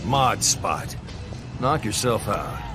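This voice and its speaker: An actor playing a gruff, folksy, teasing old crew chief in a racing game. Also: male voice, lowish voice, very animated, slow. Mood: gruff, dismissive. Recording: loud music bed behind voice. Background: loud music.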